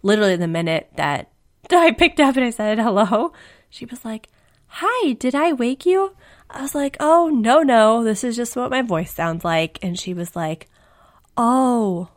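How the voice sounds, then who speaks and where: A woman co-hosting a casual podcast, chatty and quick to laugh, her voice just back after losing it to the flu. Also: on the high side, lively intonation. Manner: chatty, laughs often, self-deprecating. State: recovering from flu, voice recently lost. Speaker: podcast co-host. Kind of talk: casual chat.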